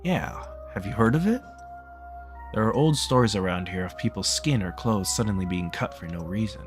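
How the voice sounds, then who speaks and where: A man voicing an ominous male lodge guest who quietly explains local folklore. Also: fairly low pitch, expressive, normal speed, over music, natural voice.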